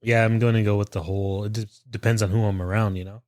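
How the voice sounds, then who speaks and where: A man's voice: fairly low pitch, level tone, fast talker, darkish tone, no echo, studio quality. A man guest co-hosting a podcast, answering briefly and matter-of-factly. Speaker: guest co-host. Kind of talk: casual chat.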